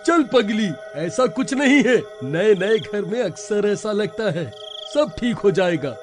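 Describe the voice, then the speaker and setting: A man's voice: sits high, lively intonation, a little slow, faint music behind. A man voicing a greedy, brash, know-it-all husband in a cartoon story. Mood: dismissive. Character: greedy, cocky, dismissive husband. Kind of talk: cartoon voice acting.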